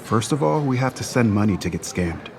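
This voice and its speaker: A man's voice: medium pitch, lively intonation, a little fast, vocal fry, background noise. An actor playing a worried, argumentative young man who bickers insistently in a naturalistic audio drama.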